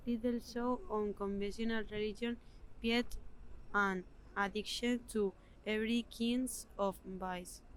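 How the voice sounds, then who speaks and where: A young woman reciting a scripted history piece in the first person, in a halting way. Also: mid-range voice, steady tone, low-quality recording. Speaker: student presenting a history project.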